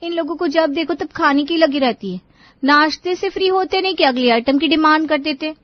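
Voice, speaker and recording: एक महिला कार्टून कहानी में काम से परेशान, कुड़कुड़ाती जवान बहू का किरदार निभा रही है।. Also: female voice, high-pitched, brisk, no echo, highs cut off, lightly acted. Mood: annoyed. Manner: whiny, complaining. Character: overworked, grumbling young daughter-in-law. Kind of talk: Hindi animated family story acting.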